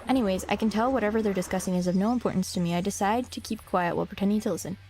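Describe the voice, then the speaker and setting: A young woman streaming, talking casually to her chat in slang and reading game text aloud, a little hoarse from a cough. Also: mid-range voice, very fast, a little mellow. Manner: slangy, rambling, talks to chat.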